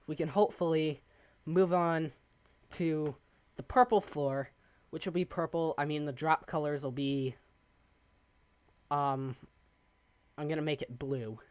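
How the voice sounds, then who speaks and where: Young male streamer, hoarse and raspy, slow rambling chat, dry close sound. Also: a little high, dry recording. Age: young adult. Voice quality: raspy from coughing. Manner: rambling, halting, many ums. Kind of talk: casual live-stream chat while building. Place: home desk.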